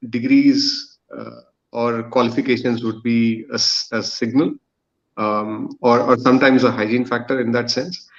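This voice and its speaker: A man speaking as an employer on a podcast panel, in halting, stop-and-start phrases. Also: mid-range voice, highs cut off.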